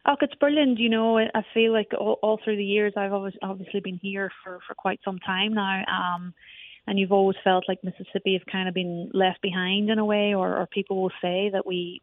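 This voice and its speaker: A woman's voice: medium pitch, steady tone, brisk, dry recording. Irish woman coach on a tinny phone line. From Ireland. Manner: chatty, many restarts. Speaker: soccer coach. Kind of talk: phone interview.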